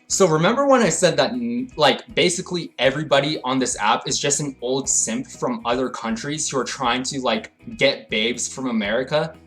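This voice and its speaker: A young man narrating a comedic storytime, swinging between hyped rants and low deadpan asides. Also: normal speed, hardly any pauses, faint music behind. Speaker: storytelling vlogger.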